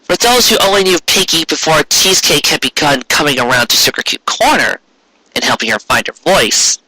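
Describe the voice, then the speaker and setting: A man reading a story aloud as an expressive narrator. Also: fairly high pitch, dry recording, low-quality recording. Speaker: narrator, fan fiction reader.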